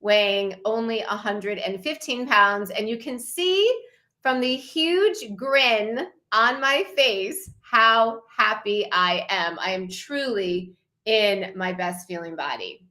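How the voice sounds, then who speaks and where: A woman's voice: medium pitch, pushed and bright. A woman in her fifties presenting slides to a challenge group as a wellness coach, talking in an enthusiastic, promotional way. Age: 50s. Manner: enthusiastic, promotional, slow and deliberate.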